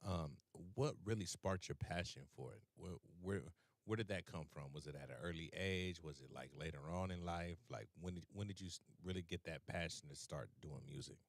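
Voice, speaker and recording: Deep-voiced host, dry close sound. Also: male voice, normal speed, no echo. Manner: asking rambling, repeated questions with fillers. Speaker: interview host. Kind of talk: casual interview questions.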